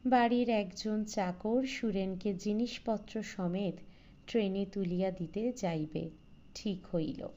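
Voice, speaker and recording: A woman reading a literary story as scripted audiobook narration, in a measured, expressive way. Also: medium pitch. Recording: slightly dull, lo-fi recording.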